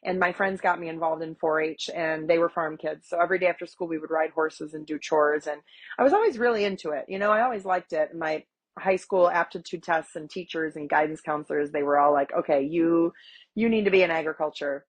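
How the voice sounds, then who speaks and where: A woman in her forties from Wisconsin, stuffy from a cold, telling her story in a chatty, animated podcast interview with many fillers. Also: low voice, a little fast. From Wisconsin, USA. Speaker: guest, agriculture advocate. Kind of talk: conversational interview.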